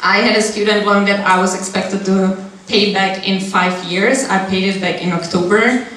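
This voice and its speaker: A woman's voice: medium pitch, steady tone, normal speed, fairly bright tone. A young woman, a guest speaker from Austria, answering audience questions after a talk in a candid way, thinking aloud with restarts, on a handheld mic in a large seminar room. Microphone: handheld mic, far camera. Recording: echoey room, distant mic.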